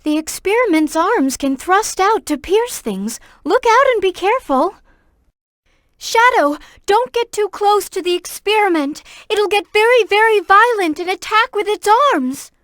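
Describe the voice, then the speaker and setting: Sweet earnest little game girl, really high voice, polite and caring, dry close sound. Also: animated, a little slow, a bit bright, no room sound, exaggerated voice. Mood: earnest, concerned, then alarmed, pleading. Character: gentle, earnest, worried young girl, a kind helper. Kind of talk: video game voice acting.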